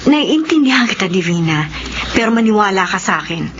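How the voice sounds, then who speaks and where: An actress playing a caring, seasoned middle-aged overseas worker giving gentle counsel in a Filipino radio drama. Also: female voice, mid-range voice, sing-song, brisk, a little hiss or hum. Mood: concerned, soothing. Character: calm, caring older woman, seasoned overseas worker friend. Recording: muffled radio sound with music.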